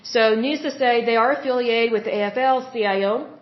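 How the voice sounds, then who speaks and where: A woman's voice: on the high side, fairly quick, echoey room, highs cut off. Chatty, opinionated woman podcast host. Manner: chatty, opinionated, with frequent fillers. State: losing her voice, coughing. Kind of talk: solo podcast commentary.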